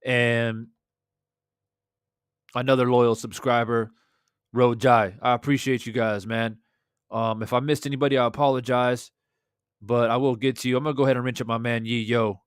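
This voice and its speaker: Hoarse man hosting a livestream. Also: mid-range voice, little pitch movement, normal speed, long pauses, dry recording, studio quality. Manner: laid-back, shouting out chat viewers, swears freely. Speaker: boxing livestream host. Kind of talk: casual livestream chat. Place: small home room, indoors. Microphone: studio desk mic with headphones.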